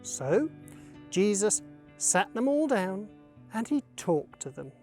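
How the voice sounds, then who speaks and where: Female children's storyteller: very slow delivery, lively melodic range, light music bed. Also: medium pitch. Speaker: story reader.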